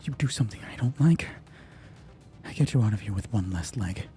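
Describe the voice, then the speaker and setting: A man's voice: fairly low pitch, expressive, a little fast, dark tone, faint music behind, pitched below the performer's own voice, lightly acted. A man voicing a tough female special forces soldier in a tabletop roleplay, curt, commanding and threatening. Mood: menacing. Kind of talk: acted dialogue in tabletop roleplay.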